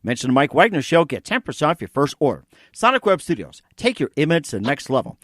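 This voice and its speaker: A man's voice: on the high side, sing-song, fast, fairly bright tone, no room sound, studio quality. A man hosting a podcast in an effusive, enthusiastic way. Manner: gushing, effusive. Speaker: podcast host. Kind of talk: enthusiastic interview chat with read sponsor plugs.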